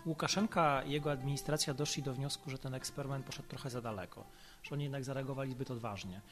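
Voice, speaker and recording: A man with a medium-pitched voice speaks as an expert commentator. He explains his points in a measured, articulate manner suited to an analytical podcast interview. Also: steady tone, music in the background.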